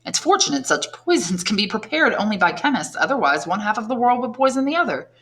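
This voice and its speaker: A woman's voice: a little high, very animated, fast talker, a bit bright, pitched above the performer's own voice. A woman voicing a curious, nervous aristocratic mother who asks eager questions in a raised voice. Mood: eager, probing. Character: curious, flattering aristocratic lady, mother, high-strung.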